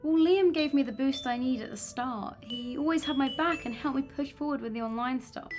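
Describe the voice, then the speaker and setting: A high, girlish-pitched young woman played by an actress is an earnest vlogger full of self-doubt in an audio drama. She speaks hesitantly and sincerely, with music clearly playing behind her. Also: fairly quick, natural voice. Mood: vulnerable, confiding.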